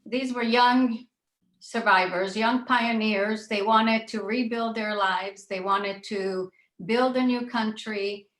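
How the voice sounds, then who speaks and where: An older woman from Israel recalling her own past as a panelist, deliberate and repeating phrases for emphasis. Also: a little high, fairly even intonation, fairly bright tone. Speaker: panelist, child of Holocaust survivors. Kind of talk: personal recollection in a panel discussion. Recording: echoey room sound.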